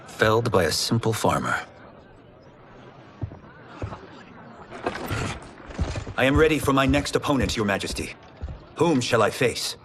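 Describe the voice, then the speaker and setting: A man's voice: mid-range voice, very animated, fairly quick, fairly bright tone, a little hiss or hum. A man playing a polite, earnest young farmer turned champion fighter in a video game, speaking respectfully. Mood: confident. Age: young adult. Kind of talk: dramatic video game acting.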